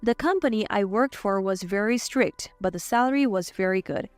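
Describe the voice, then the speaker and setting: A woman's voice: mid-range voice, normal speed, dry recording, faint music behind. A woman reading a scripted first-person story as its narrator.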